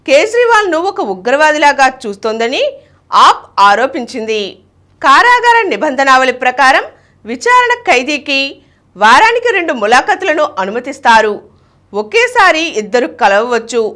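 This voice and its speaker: A woman's voice: high-pitched, sharp tone. A woman reading a scripted news bulletin. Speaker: news reader.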